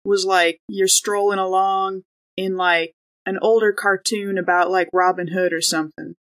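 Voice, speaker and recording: A woman's voice: medium pitch, fairly even intonation, moderate pace. A woman chatting casually and slangily as a player in a tabletop role-playing game.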